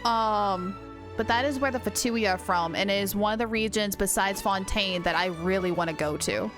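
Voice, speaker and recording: Excitable woman game streamer over music. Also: medium pitch, moderate pace. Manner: excitable, gushing, chatty. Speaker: game livestreamer. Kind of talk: casual live stream commentary and chat.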